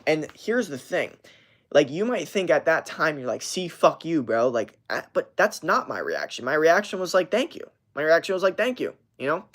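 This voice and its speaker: A man's voice: a little high, sing-song, normal speed, a bit bright. A man in his twenties, hosting a livestream, telling a story in a profane, emphatic and rambling way. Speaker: livestream host. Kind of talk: casual story time on a livestream.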